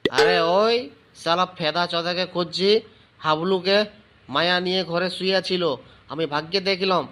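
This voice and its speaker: A man's voice: sits high, fairly even intonation, moderate pace, pushed and bright. A man voicing an angry, threatening father in an amateur comedy cartoon, scolding in a crude, blustering way. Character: angry, threatening father chasing his wayward son.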